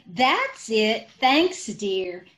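A woman acting a scatterbrained, innocent-sounding wife in an old-time radio comedy, deadpan and unhurried, over a video call. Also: fairly high pitch, exaggerated voice. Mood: coaxing. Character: scatterbrained, artfully innocent wife, mangles sayings. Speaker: amateur radio troupe actor.

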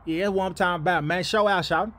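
A man's voice: sits high, steady tone, rapid-fire, a bit bright, a little hiss or hum. A man doing comedic sports parody voiceover with slangy, mocking banter.

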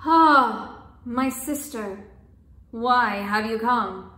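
Storybook part: a woman reading as the wise sister of a spirit, gentle and searching, slow and high, in an echoey room. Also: exaggerated voice. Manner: gentle questioning.

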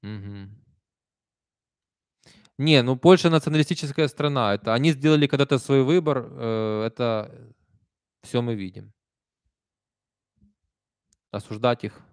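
A man's voice: mid-range voice, measured pace, pauses often, clear, resonant tone, dry recording, clean studio sound. A man in his thirties from Ukraine with a Kyiv accent, chatting emphatically on a live stream while reading out viewer comments. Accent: Kyiv Russian. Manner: conversational, emphatic, soft-spoken asides. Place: small room indoors. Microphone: desk stand mic close up.

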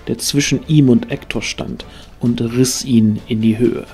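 A man narrates a scripted fantasy adventure as an audio drama. His voice sits at an average pitch, and soft music plays behind him. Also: steady tone, measured pace.

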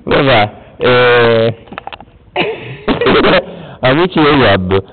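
A man's voice: mid-range voice, big pitch swings, extremely slow. A young man jokingly hosting a mock interview in a late-night home video, laughing often and putting on an announcer tone. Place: room at night. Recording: tinny lo-fi home video with loud hiss.

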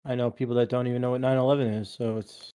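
A man in his late twenties talks loosely on a podcast, circling back on his own sentences with fillers as he argues his point. His pitch sits in the middle of the male range. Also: little pitch movement, rapid-fire, dark tone, clean tone. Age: 20s. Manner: rambling, argumentative, many fillers. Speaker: podcast co-host. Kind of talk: casual chat.

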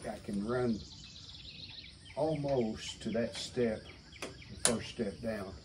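A middle-aged man with a fairly low voice talks plainly through a do-it-yourself job and thinks aloud as he goes. He is recorded on a camera mic outdoors, sometimes stepping away from it, with birds and yard noise clearly audible behind him. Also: takes it slowly. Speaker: DIY channel host. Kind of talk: casual how-to narration. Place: outdoor concrete basement stairwell. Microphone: camera mic, sometimes far from camera. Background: outdoor ambience with birds.

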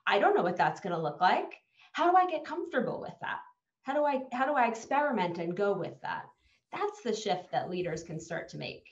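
An American woman in her forties, a leadership expert, speaks animatedly with quick bursts as a guest on a video call from her home office. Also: mid-range voice. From United States. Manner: animated, gestures while talking, quick bursts. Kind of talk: conversational video interview. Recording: echoey dull video call audio.

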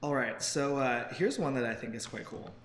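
Young man, medium pitch, informal explainer, rephrases often, echoey office recording, slight background noise. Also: normal speed, a bit bright. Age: 20s. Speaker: co-host of a tech news show. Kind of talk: casual explanatory chat about tech news. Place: open-plan office, indoors on a couch.

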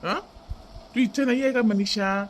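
Man acting a high-voiced coaxing village father. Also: normal speed, a little hiss or hum, close to an everyday voice. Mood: insistent. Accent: Varhadi Marathi. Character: middle-aged village father, coaxing, practical, concerned. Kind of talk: comic family cartoon dubbing.

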